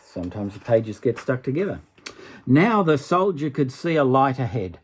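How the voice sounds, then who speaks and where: A man's voice: medium pitch, very animated, moderate pace, breathy edge. An older man reading a children's story aloud expressively, dramatically, with asides to a young listener. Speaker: storybook reader.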